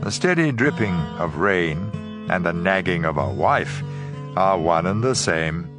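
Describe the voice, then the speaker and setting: A man giving a measured, emphatic dramatized reading of scripture as an audio Bible reader. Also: deep voice, lively intonation. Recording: guitar music bed. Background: plucked guitar music.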